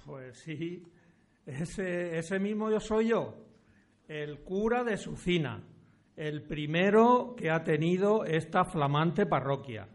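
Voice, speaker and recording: Man playing a slow-speaking, high-voiced village priest. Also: animated. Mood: welcoming, dignified. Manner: deliberate, formal, old-fashioned speech. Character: courteous, authoritative village parish priest of the 1700s. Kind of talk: amateur historical radio drama acting.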